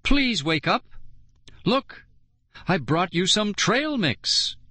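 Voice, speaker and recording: A desperate young boy begging in a high, wild voice, as a man puts him on. His pleas swing up and down and stretch out slowly. The sound is bright and dry. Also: very animated, no room sound, heavily acted. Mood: pleading.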